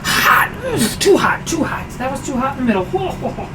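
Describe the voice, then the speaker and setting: Hoarse man chatting while cooking. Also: very high voice, sing-song, fairly quick, background noise. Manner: chatty, self-deprecating, answers chat aloud while cooking. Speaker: streaming cooking show host. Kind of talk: casual cooking livestream chat. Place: home kitchen.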